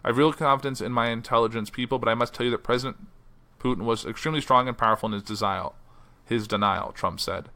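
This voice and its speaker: A man hosting a solo news podcast. He reads news quotes aloud and chats loosely between them, and his voice is going hoarse from a stressful week. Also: mid-range voice, fast talker. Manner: reads quotes in a flat newsy voice, then chats loosely with fillers. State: losing his voice. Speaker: podcast host. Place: house.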